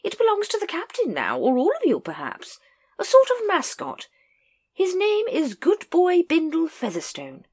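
A woman voicing a hearty, booming upper-class lady who talks in a gushing, brisk and jolly way. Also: huge pitch swings, darkish tone, heavily acted.

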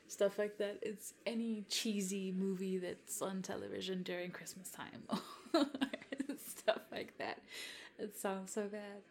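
A woman in her twenties from South Africa, whose first language is Afrikaans, chats softly to a follower, giggling and hesitating, with whispered asides. Her voice has a gravelly fry, and she takes her time. A faint hiss sits under the recording. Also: mid-range voice. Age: 20s. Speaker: content creator addressing a follower. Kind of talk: roleplay chat to the listener.

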